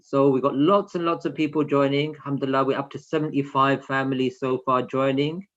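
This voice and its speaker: A man's voice: medium pitch, steady tone, a little fast, fairly bright tone. A man hosting an online children's session in an informal way, talking directly to attendees with instructions and questions over a video call. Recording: slightly dull lo-fi video call audio.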